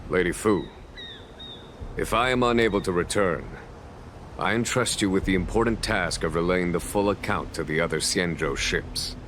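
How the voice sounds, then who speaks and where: A man playing a composed veteran general in a game cutscene, speaking in a measured, formal and authoritative way. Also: sits low, a bit bright, slight background noise. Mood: grave. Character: composed veteran male general commanding knights. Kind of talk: video game cutscene acting.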